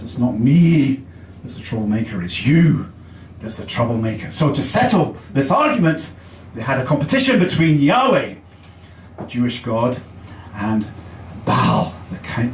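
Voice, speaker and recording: A man's voice: medium pitch, roomy sound, far from the mic, slight background noise. An English man performing a chatty, self-deprecating stand-up comedy set in a basement comedy club. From England.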